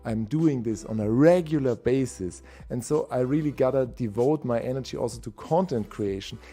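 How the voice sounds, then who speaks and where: Hoarse, enthusiastic man. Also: mid-range voice, normal speed, dark tone, faint music behind. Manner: enthusiastic, chatty, with laughs and restarts. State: hoarse, a bit unwell. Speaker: host of a typography livestream. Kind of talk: casual live-stream chat.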